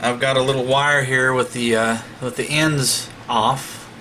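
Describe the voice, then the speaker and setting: A man's voice: mid-range voice, fairly even intonation, measured pace, sharp tone, slight background noise, low-quality recording. A man talking casually through a hands-on electronics repair, explaining step by step in a folksy way. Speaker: hobbyist radio repairer making a demonstration video.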